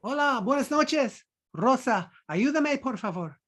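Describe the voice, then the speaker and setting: A woman acts out a cheeky servant in a stage play read-through, mocking and slangy. The voice, sits fairly high and swings widely, with an unhurried pace. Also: animated, a bit bright, exaggerated voice. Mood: pleading. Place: online video call.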